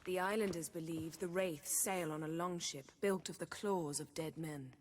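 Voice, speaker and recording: An actress playing a commanding, sharp-witted sorceress in a video game, articulate and wry. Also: female voice, low voice, fairly even intonation, normal speed, slight background noise, close to an everyday voice. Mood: focused, wry.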